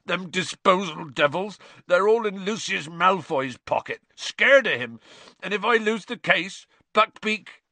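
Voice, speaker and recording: A man voicing a huge, soft-hearted, distraught gamekeeper in an audiobook, with choked, tearful, rustic and ungrammatical speech. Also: on the low side, lively intonation, moderate pace, no room sound. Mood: tearful, despairing.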